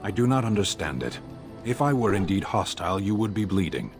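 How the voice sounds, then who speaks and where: An actor playing a blunt, dutiful warrior who speaks in a flat, terse, literal way, with game music behind him. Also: male voice, sits low, brisk. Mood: stern. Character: stern giant male warrior, blunt and dutiful.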